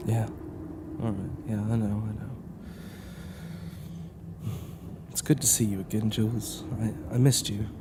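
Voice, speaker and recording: A man's voice: on the low side, steady tone, brisk, vocal fry, noisy background. A man playing a blunt, suspicious young brother in an audio drama, talking informally and questioningly. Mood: tender, subdued.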